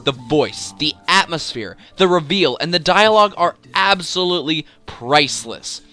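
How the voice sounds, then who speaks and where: A man's voice: a little high, animated, moderate pace, pushed and bright. A man hosting a video game top-ten countdown, speaking with emphatic, enthusiastic commentary and dramatic build-ups. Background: music.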